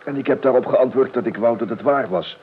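An actor playing a grumbling, hungover, self-pitying gentleman in a Dutch radio play, halting and fussy, with lyrical flights. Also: mid-range voice, close to an everyday voice. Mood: fussy, weary. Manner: halting, fussy, theatrically weary. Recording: narrow, hissy old radio recording.

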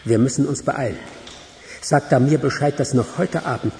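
A man's voice: medium pitch, moderate pace, darkish tone, close to an everyday voice. Curt male crime boss in echoing hall acted. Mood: tense, urgent. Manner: brusque, barking orders. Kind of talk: radio drama acting. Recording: reverberant hall, faint noise.

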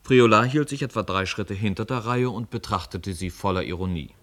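Male narrator for radio drama: very low voice, measured, articulate literary storytelling. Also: a bit bright.

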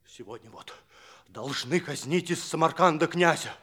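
Man acting anxious high-voiced devoted servant. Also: moderate pace, a bit bright, lightly acted. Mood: agitated, urgent. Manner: agitated, pleading, emphatic. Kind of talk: theatrical radio play dialogue.

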